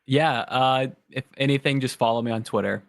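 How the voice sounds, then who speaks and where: A man chatting as a guest on a sports podcast, easygoing and quick to laugh. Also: medium pitch, gravelly, no room sound, studio quality. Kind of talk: casual sports podcast chat.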